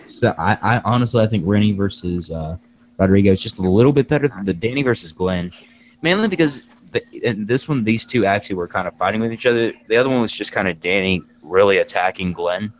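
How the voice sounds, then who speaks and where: A man's voice: fairly low pitch, quick pace, slight background noise, low-quality recording. A man speaking as a host in a casual group discussion, giving his commentary.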